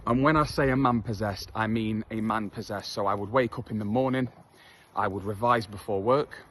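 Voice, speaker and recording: A man's voice: on the low side, normal speed. Candid English man talking while jogging. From England. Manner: candid, self-deprecating, chatty with many fillers. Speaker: vlogger. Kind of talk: casual talk to camera while jogging. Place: outdoors on a street.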